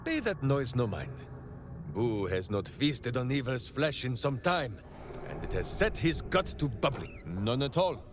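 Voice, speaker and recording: An actor playing a hearty, simple-minded berserker ranger in a fantasy video game, speaking in grandiose, oddly phrased declarations. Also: male voice, mid-range voice, big pitch swings, a little slow, noisy background. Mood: earnest, puzzled. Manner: grandiose, third-person heroic declarations with odd phrasing. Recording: music behind the voice.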